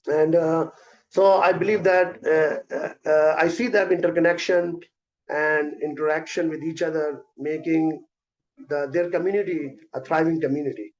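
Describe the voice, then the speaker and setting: Fast-talking man. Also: fairly high pitch, fairly even intonation, muffled recording. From South Asia. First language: Urdu. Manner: fast, hesitant, many filler sounds. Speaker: guest presenter. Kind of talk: answering questions in an online event. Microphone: video call.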